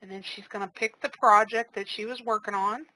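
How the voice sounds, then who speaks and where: A woman trainer giving a casual, step-by-step live software demonstration, explaining each click as she goes. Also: medium pitch, fairly even intonation, brisk, poor audio. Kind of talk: live software demo walkthrough.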